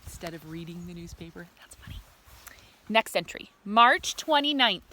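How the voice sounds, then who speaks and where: A woman's voice: lowish voice, animated, normal speed, sharp tone, a little hiss or hum. A woman reading a picture book to children expressively, with simple explanations and questions to her young listeners. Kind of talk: read-aloud storytime with asides to young listeners.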